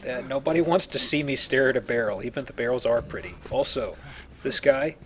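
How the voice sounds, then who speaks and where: A man's voice: mid-range voice, brisk, fairly bright tone. A man hosting a casual Let's Play, explaining the game and joking as he goes. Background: video game sounds.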